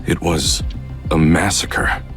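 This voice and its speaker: A man's voice: rumbling low, level tone, slow pace, music in the background, heavily acted. An actor playing a grave veteran hunter in an anime game, solemn and deferential in his manner. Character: grave veteran male hunter reporting to superior. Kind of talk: anime game cutscene acting.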